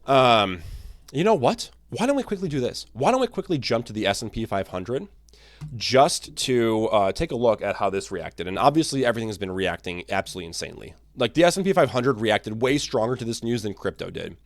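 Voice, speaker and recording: A man hosts his own market commentary show, his voice a touch raspy from a long day of talking. His delivery is energetic and lively, with pitch swinging widely as he pushes through his points. The sound is dry and close, with little room around it. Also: fairly quick, no room sound. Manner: energetic, animated, restarts and fillers. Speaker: crypto channel host. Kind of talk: casual market commentary to camera.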